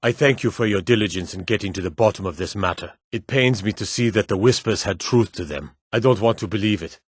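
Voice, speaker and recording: Weary fantasy soldier for a video game: husky, dry close sound, gruff and measured. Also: male voice, lowish voice, dry recording. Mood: solemn, grateful. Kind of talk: video game character dialogue.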